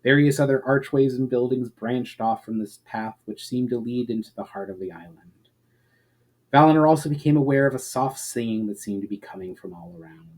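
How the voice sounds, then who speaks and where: A man reading fantasy fiction aloud as a dramatic narrator, deliberate, with emphatic phrase openings that fall away at the ends. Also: mid-range voice, a little mellow. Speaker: author reading own story aloud.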